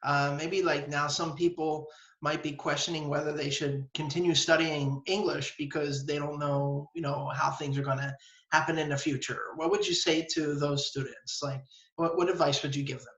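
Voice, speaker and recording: A man's voice: a little high, fairly even intonation, normal speed, a bit bright. A man hosting a casual interview, chatting informally and asking questions. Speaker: host, interviewer. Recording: roomy, echoey, dull lo-fi audio.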